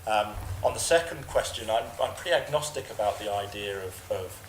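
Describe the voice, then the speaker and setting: A man on an expert panel, answering questions in a halting way with many repeated words. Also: fairly low pitch, lively intonation. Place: large parliamentary committee room. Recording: echoey room with background noise.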